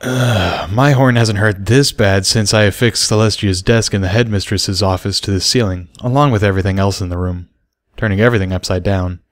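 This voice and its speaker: A man voicing a wry, mischievous adult male unicorn with a dry, unhurried manner in a storybook. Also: fairly low pitch, no room sound, natural voice. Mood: amused reminiscing.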